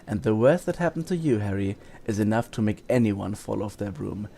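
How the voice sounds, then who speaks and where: An actor in an audio drama playing a gentle, weary wizard teacher who explains things in a grave, measured, reassuring way. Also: male voice, lowish voice, animated, gravelly.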